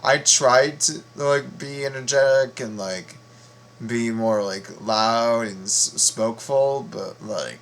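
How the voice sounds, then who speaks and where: A very tired man giving casual reaction commentary, halting and rambling as he repeats his words. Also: mid-range voice, fairly bright tone, a little hiss or hum. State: very tired, half asleep. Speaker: video host reacting to game voice lines.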